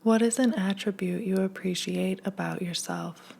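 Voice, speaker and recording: A woman leading a scripted guided meditation in a gentle, hushed, soothing manner, leaving long pauses. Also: mid-range voice, fairly even intonation, vocal fry.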